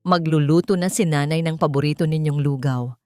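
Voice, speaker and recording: A woman's voice: deep voice, fairly quick, dark tone, no echo, clean studio sound, close to an everyday voice. A woman voicing a frightened young mother of four in a storybook reading, her lines pleading and anxious. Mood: tender. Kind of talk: scripted narration.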